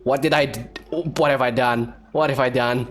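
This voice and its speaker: Man doing halting, casual game commentary. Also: medium pitch, fairly even intonation, slight background noise. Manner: halting, thinking aloud. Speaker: video game streamer. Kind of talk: casual let's-play commentary. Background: faint game music.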